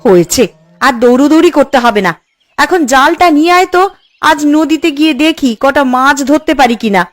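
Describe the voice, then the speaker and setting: A woman's voice: high voice, animated, normal speed. A woman voicing a scolding, hard-working village mother in a cartoon. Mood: bossy. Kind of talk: Bengali cartoon voice acting.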